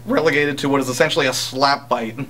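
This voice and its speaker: A man's voice: mid-range voice, steady tone, quick pace, a bit bright, slight background noise. A young man co-hosting a casual podcast, giving wry, hyperbolic opinions on what he reviews. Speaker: podcast co-host. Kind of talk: casual chat, opinionated film and game review.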